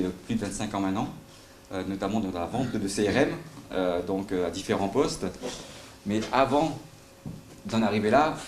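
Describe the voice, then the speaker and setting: A man's voice: fairly low pitch, a little mellow. A middle-aged man with a light southern French accent giving a live sales talk at a conference, full of fillers and questions to the audience. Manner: hesitant, many fillers, asks the audience questions. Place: conference room. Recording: roomy hall with faint noise.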